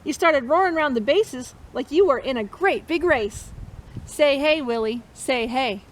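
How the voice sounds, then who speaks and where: A woman's voice: high voice, lively intonation, a little slow. A woman reading a picture book aloud to children as storytime host, encouraging listeners and chanting along with them. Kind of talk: interactive read-aloud for children.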